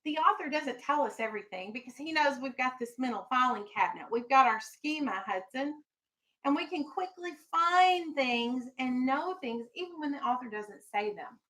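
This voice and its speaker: A woman's voice: high-pitched, lively intonation, moderate pace, fairly bright tone, rough recording. A woman elementary school teacher leading a classroom reading lesson and read-aloud, asking questions and instructing firmly. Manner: instructional, questioning, firm with pupils.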